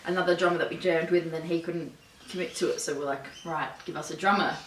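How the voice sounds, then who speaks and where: A woman, chatting easily about her band in an interview, relaxed and quick to laugh. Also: fairly low pitch. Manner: easygoing, laughs often. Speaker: band singer and guitarist. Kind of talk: casual interview chat. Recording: echoey room, distant mic, faint noise.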